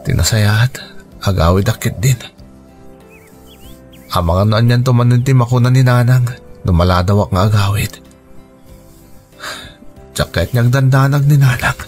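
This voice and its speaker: A man's voice: mid-range voice, normal speed, long pauses, darkish tone, close to an everyday voice. Young Ilocano farmer son played by a male actor, brooding, anxious and lovesick, radio drama with music underneath. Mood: wistful, brooding. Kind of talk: Filipino radio drama acting.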